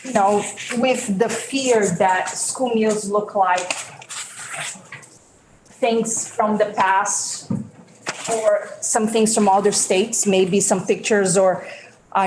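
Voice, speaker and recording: A woman's voice: medium pitch, steady tone, takes it slowly, a little mellow, a little hiss or hum, low-quality recording. A woman from Brazil with a Brazilian accent, testifying earnestly as a school food service director at a committee hearing, with many ums and restarts.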